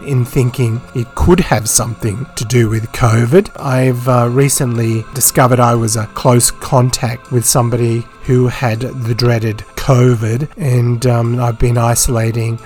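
A man hosting a solo podcast, chatting off the cuff with a croaky, hoarse voice, rambling with many fillers and self-deprecating asides. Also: mid-range voice, steady tone, unhurried, hardly any pauses, darkish tone, faint music behind. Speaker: podcast host. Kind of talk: unscripted solo podcast chat. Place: home, isolating. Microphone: phone.

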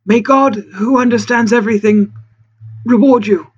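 A narrator voicing a desperate, starving old castaway man who pleads haltingly in formal, old-fashioned words. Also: mid-range voice, fairly even intonation, breathy edge, lo-fi sound, voice pushed up, natural voice. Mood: grateful.

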